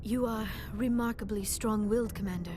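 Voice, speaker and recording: A woman playing a gentle, earnest young alien scientist in a video game, speaking formally and haltingly. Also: medium pitch, fairly even intonation, music in the background, natural voice. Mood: awed. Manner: careful, formal speech without contractions, halting.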